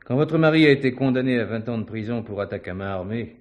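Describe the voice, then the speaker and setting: A man playing a shrewd, assured police commissioner in a theatrical mystery, measured and ironically courteous. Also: mid-range voice, lively intonation, close to an everyday voice. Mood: ironic, confronting. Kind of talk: French stage mystery acting.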